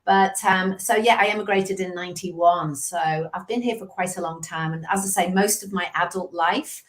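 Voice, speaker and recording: A woman's voice: lowish voice, moderate pace. A woman in her fifties from England with a Liverpool accent, a sales coach telling stories as a guest on a video call interview. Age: 50s. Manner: chatty storyteller, lively asides. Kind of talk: casual interview chat.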